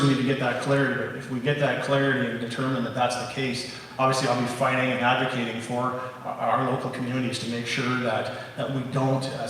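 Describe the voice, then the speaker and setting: Man, even flat delivery, distant mic, long hall reverb. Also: medium pitch, a little hiss or hum. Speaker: sitting MP running for re-election. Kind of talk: candidate forum answer. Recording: echoey hall, mic from far off.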